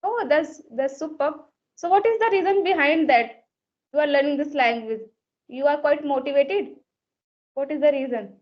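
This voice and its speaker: A woman's voice: sits high, normal speed. A woman from Uttar Pradesh with a North Indian accent, a native Hindi speaker, chatting in learner English and often repeating herself. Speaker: English learner and conversation partner. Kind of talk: casual video-call conversation. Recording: muffled lo-fi call audio.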